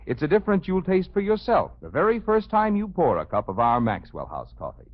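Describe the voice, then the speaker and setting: A man's voice: high-pitched, wildly swooping pitch, fairly quick. A man delivering a 1950s radio sponsor message as announcer, with emphatic, folksy salesmanship. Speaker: commercial announcer. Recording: dull vintage 1950s radio recording.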